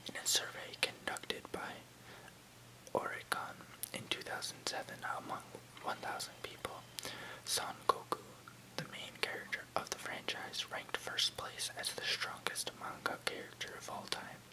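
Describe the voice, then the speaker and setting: ASMR reader, fully whispered and breathy, measured delivery of encyclopedic text. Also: poor audio. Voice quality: soft, breathy unvoiced whisper. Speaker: ASMR host reading an article aloud.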